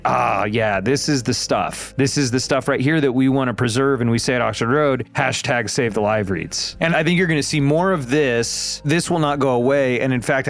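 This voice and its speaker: A man hosting a casual podcast discussion, talking in an emphatic, musing, conversational way. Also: medium pitch. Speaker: podcast host.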